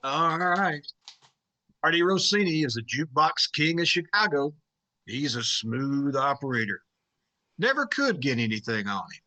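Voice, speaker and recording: A man's voice: a little high, big pitch swings, measured pace, pushed and bright, exaggerated voice. An actor with an American accent playing a prickly, cynical newspaperman in a radio drama, dry and sardonic. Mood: cagey. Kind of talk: 1950s radio drama acting.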